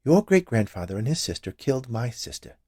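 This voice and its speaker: A man's voice: medium pitch, big pitch swings, a little fast, a little mellow, no echo, studio quality, voice pushed up. A man voicing a century-old witch confectioner in a story reading, gushing and theatrical with a vengeful streak. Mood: sinister, vengeful. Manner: gushing, theatrical, overly polite. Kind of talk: dramatized short story reading.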